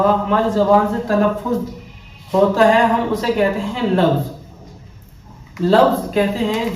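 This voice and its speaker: A high-voiced man teaches a step-by-step grammar lesson, going back over each definition patiently. He sounds a little away from the mic in a very echoey room, with a faint hum behind him. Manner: patient, repeats key definitions slowly. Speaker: Arabic teacher. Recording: echoey room heard from a distance.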